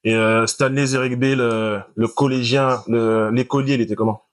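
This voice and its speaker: A man hosting a casual interview while playing a video game, quick to laugh and react to the action. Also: medium pitch. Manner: friendly, laughs often, lively reactions to the game. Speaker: host, interviewer.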